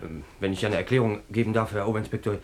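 A man's voice: lowish voice, steady tone, fast talker, close to an everyday voice. An actor playing a naive young policeman in his twenties in a radio play, earnest, polite and hesitant. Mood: deferential, nervous. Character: deferential 24-year-old policeman, naive earnest fiancé. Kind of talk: German radio play acting.

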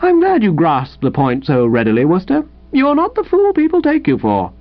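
Man playing a high, smug upper-class English invalid. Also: wildly swooping pitch, moderate pace, lo-fi sound, heavily acted. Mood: smug, patronizing. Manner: condescending, affected drawl.

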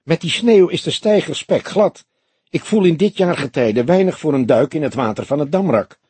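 Man playing a husky, dry older police detective. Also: on the high side, lively intonation, a little mellow, dry recording, voice pushed up. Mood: wry. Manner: deliberate, explanatory, dryly humorous. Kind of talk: audiobook character dialogue.

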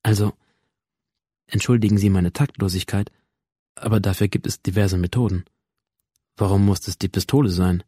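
A man's voice: sits low, steady tone, moderate pace, long pauses, dark tone, no room sound. An analytical male doctor in a radio drama, questioning a patient with formal, insistent precision. The sound is close and dry, as if in a studio. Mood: sceptical, probing.

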